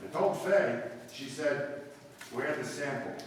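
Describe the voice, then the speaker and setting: A man's voice: mid-range voice, lively intonation, takes it slowly. Chatty detective sidekick played by a man, very echoey. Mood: earnest. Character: chatty, homespun married male police detective sidekick. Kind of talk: 1950s radio police drama acting. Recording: distant, echoey hall with long reverb, hiss.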